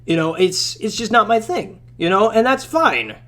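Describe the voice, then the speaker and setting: A man's voice: high voice, very animated, moderate pace, pushed and bright. A young man chatting casually about a mobile game, rambling with lots of fillers while his voice is going out. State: losing his voice.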